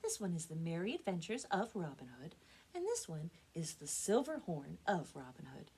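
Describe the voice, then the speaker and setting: A young American woman, a children's librarian telling stories with expressive, dramatic emphasis, recorded indoors on a camera mic. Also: on the low side, normal speed, breathy edge. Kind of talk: animated storytelling for children.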